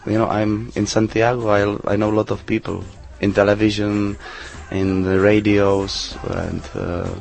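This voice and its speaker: A man, his voice sitting low and staying flat. He answers questions in halting, English, pausing on fillers and restarting his sentences. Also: creaky. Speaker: interview guest, DJ. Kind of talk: casual interview answers.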